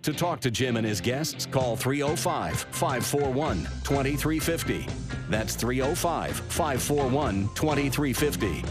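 A man's voice: medium pitch, expressive, a little slow. A man reading a scripted radio show bumper as a station announcer. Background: guitar music.

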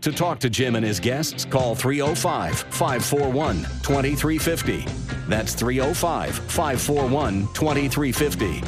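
A male announcer reads a scripted station break in a slow, deliberate way, as if spelling out a phone number. Clear guitar music plays under his voice. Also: medium pitch, expressive.

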